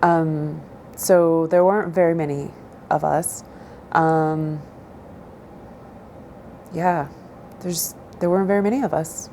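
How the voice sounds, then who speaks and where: A middle-aged woman from southern Idaho whose first language is Spanish, answering interview questions in a measured, thoughtful way with frequent pauses. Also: low voice, fairly even intonation, warm tone, slight background noise.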